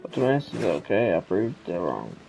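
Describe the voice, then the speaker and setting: A man's voice: low voice, sing-song, moderate pace, gravelly, darkish tone, faint music behind, rough recording. A man giving casual, rambling commentary while playing a game, with muttered asides. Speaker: gaming video host.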